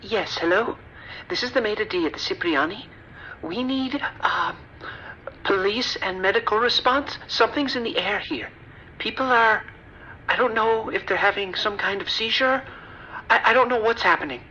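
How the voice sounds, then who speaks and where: A woman plays a horrified maître d' phoning for help in an audio drama. The voice is bright and mid-pitched, formal yet halting and distraught, over faint noise. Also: close to an everyday voice. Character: horrified restaurant maître d' calling emergency services. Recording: noisy, dull radio-like call audio.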